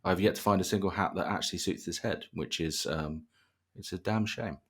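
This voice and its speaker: A man with a very low voice hosts a relaxed podcast. He talks in a bantering, conversational way, with dry humour often aimed at himself. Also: brisk, slightly rough. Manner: dry, jokey, self-deprecating. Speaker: podcast host. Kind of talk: casual bantering podcast interview.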